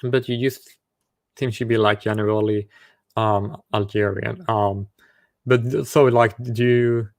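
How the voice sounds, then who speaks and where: A man's voice: lowish voice, level tone, a little slow, no echo. A man chatting casually with strangers on a video call. He asks lots of questions and keeps restarting his sentences. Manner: hesitant, stumbling, many restarts. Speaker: video chat host.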